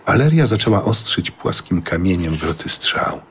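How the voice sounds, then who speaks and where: A man's voice: low voice, sing-song, normal speed, slight background noise. A man giving a scripted, dramatic narration of a fantasy audiobook. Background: music.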